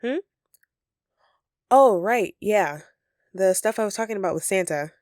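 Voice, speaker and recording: A woman's voice: mid-range voice, animated, a little slow, darkish tone, no echo, clean studio sound, natural voice. A woman playing a flustered, friendly Christmas elf secretly living as a roommate, speaking hesitantly and earnestly in an audio roleplay to the listener. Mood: grateful, affectionate. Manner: hesitant, sheepish, conversational and earnest.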